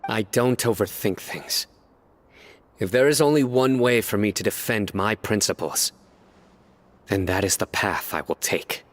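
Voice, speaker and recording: An actor playing a stern, principled investigator in a video game, speaking in a terse, grave and resolute way. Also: male voice, fairly low pitch, a little slow. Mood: firm, resolute. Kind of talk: dramatic video game voice acting. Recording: long reverberant hall echo.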